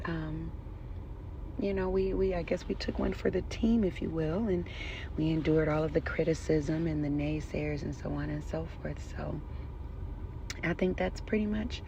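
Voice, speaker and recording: A woman's voice: deep voice, steady tone, moderate pace, vocal fry, noisy background. A woman giving a casual, explanatory voiceover, talking informally and directly to her listeners as an ASMR creator. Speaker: ASMR creator and scratch therapy practitioner.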